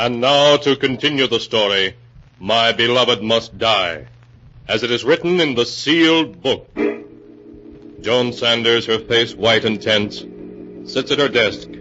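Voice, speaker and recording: An actor playing an ominous storyteller, narrating a 1940s radio suspense series in a deliberate, theatrical way. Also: male voice, medium pitch, sharp tone. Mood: ominous, grave. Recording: dull 1940s radio recording with music.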